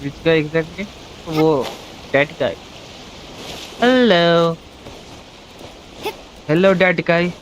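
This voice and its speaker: A man's voice: fairly high pitch, takes it slowly, pauses often, slight background noise, poor audio. A man with an Indian accent doing casual, playful live game commentary, often repeating phrases. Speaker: game streamer. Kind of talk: casual live gameplay commentary, mixing Hindi and English. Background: game music.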